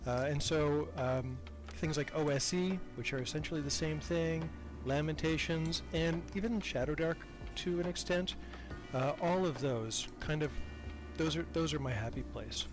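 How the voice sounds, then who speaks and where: A man's voice: on the high side, moderate pace, over music. An older man chatting casually as a podcast guest, talkative and self-deprecating. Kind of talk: casual interview chat.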